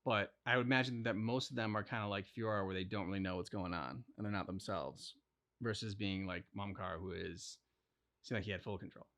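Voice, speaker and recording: A man chatting casually as co-host of a reaction channel, thinking out loud in a rambling way peppered with "like". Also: fairly low pitch, fairly even intonation, a little fast. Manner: rambling, speculative, heavy use of "like". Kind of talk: casual chat, reacting to game cutscenes.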